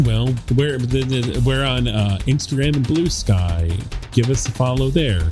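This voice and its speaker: A man with a middle-range voice co-hosts a podcast. He speaks in a casual, conversational way, and music plays clearly along with his voice. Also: medium pitch, measured pace. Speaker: podcast co-host. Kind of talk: casual chat.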